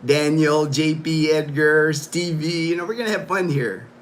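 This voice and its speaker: A man's voice: on the high side, normal speed, a little hiss or hum. A man hosting a casual livestream from his home, rambling in loose talk full of "you know", "like" and "man". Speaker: livestream host.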